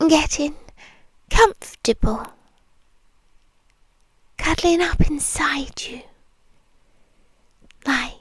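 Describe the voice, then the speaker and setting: A British woman voicing a possessive demon girlfriend in an intimate, soft, breathy ASMR roleplay, tender yet menacing. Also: high voice, dry recording, heavily acted. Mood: soothing, tender. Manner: slow, breathy, drawn-out, soothing yet possessive. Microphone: close microphone, dry.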